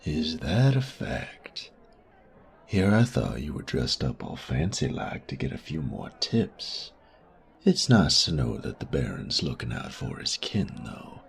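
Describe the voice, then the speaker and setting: A man playing a menacing, sadistic bandit in an audio roleplay, crude and mocking, with a gravelly, taunting drawl. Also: a bass voice, very animated, measured pace, exaggerated voice. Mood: sardonic, casual. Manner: taunting, drawling, drops to breathy murmurs. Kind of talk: audio roleplay drama acting.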